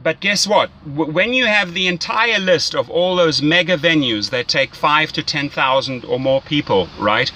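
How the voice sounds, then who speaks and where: A man's voice: a little high, animated, moderate pace, hardly any pauses, pushed and bright, poor audio. A man giving casual, enthusiastic, salesy coaching as a rideshare mentor, often checking in with "right?". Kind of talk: casual coaching phone call.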